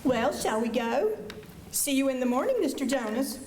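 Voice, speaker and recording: Woman as wide-eyed young western shop girl, high voice, coy and gushing, echoey auditorium. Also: lively intonation, moderate pace, darkish tone. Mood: sweet, chatty. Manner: gushing, coy, breathy exclamations. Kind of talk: western radio drama acting. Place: high school auditorium stage. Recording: echoey auditorium with faint hiss.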